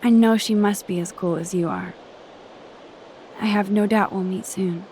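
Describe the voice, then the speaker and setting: A woman's voice: medium pitch, steady tone, normal speed, a little mellow, a little hiss or hum, lightly acted, low-quality recording. An actress voicing a thoughtful, geeky, self-doubting teenage girl in a video game, earnest and bookish with some wry humor. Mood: wistful, warm. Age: young adult. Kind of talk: naturalistic video game acting.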